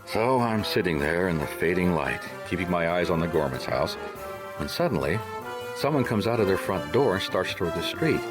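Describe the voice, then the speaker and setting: An actor playing a weary, wry private detective in a hardboiled radio drama, laconic and understated, both narrating and in dialogue. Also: male voice, low voice, sing-song, moderate pace, over music. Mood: wry, watchful.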